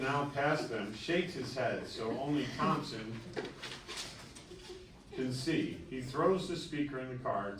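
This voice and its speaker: Man reading stage directions, echoey and distant. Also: medium pitch. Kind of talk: scripted narration at a live table read. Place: live event room before an audience. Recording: echoey room, distant mic, faint noise.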